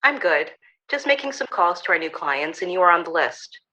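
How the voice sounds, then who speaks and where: A woman's voice: fairly low pitch, big pitch swings, moderate pace, pushed and bright. A woman acting in an audio drama as a courteous, businesslike financial professional, heard through a telephone filter. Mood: polite, reserved. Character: polite, businesslike female financial professional on the phone. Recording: dull, band-limited phone-call sound.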